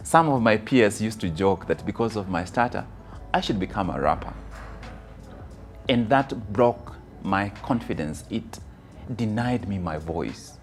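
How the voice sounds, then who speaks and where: A man with a Kenyan accent giving a motivational personal testimony, phrasing deliberately and dramatically with emphatic stresses. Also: on the low side. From rural Kisii, Kenya. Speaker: motivational speaker.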